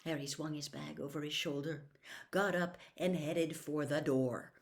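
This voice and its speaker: A woman reading a storybook aloud as an expressive narrator. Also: sits low, measured pace. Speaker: audiobook reader.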